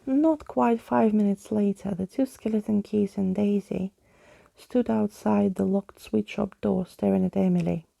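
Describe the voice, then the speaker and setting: A woman reading a bedtime story aloud in a soft, hushed ASMR style. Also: medium pitch, fairly even intonation, measured pace, gravelly, no echo.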